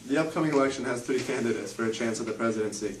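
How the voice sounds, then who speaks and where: A man's voice: medium pitch, very fast. A man reading scripted news as co-anchor of an amateur newscast. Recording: echoey, noisy room, distant mic.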